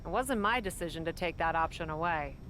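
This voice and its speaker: A hard-edged female wrestler in a wrestling game, cold and blunt. Her even voice carries threat without rising. Faint noise sits under the game audio. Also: deep voice, fairly quick, rough recording. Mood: menacing. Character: tough, menacing female wrestler, no-nonsense. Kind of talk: video game cutscene acting.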